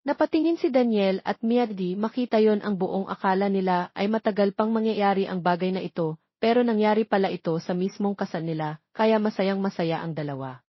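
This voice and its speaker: A Filipino woman narrates a romance audiobook from a script. Her voice sits at a middle pitch. The recording is very dry and a little dull. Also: brisk, no room sound.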